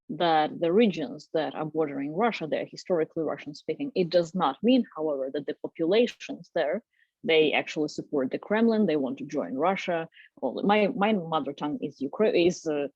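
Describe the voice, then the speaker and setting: A woman's voice: sits low, normal speed, darkish tone, slightly rough, no room sound. Earnest woman with a Ukrainian accent. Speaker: panelist. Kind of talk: panel discussion answer. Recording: dry, dull lo-fi sound.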